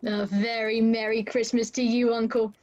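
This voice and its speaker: Woman playing a kindly young nephew, high-voiced. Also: little pitch movement, moderate pace, a little mellow, lightly acted. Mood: cheerful. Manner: polite, friendly. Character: cheerful, kindly young nephew of the old miser. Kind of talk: radio play acting. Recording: dull, lo-fi video call.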